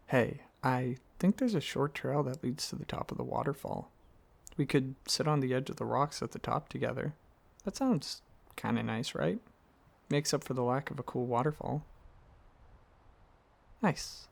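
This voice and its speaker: A man playing a gentle, affectionate dragon boyfriend in an intimate ASMR roleplay, speaking softly and earnestly with some hesitant rambling. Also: mid-range voice. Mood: relieved, warm. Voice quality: soft, husky, slightly gravelly, breathy.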